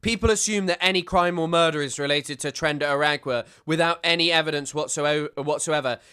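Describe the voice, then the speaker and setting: A man's voice: on the high side, a little fast, sharp tone, clean tone. A man giving sarcastic, rambling commentary while reacting to a clip, often restarting his sentences. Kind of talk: casual commentary.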